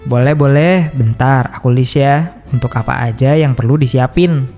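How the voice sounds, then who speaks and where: A man playing an earnest, polite college student who explains and smooths things over, in an animated story. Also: medium pitch, moderate pace, faint music behind, close to an everyday voice. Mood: eager planning. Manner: conciliatory, explanatory. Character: earnest, polite male college student, group's careful planner. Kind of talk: animated horror story dialogue.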